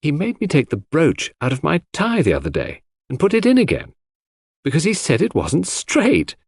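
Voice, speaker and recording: A man voicing a gentle young woman who speaks in a hesitant, plaintive, confiding way in an audiobook. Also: a little high, huge pitch swings, normal speed, creaky, husky, no room sound, voice pushed up, full character voice. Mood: fond, amused.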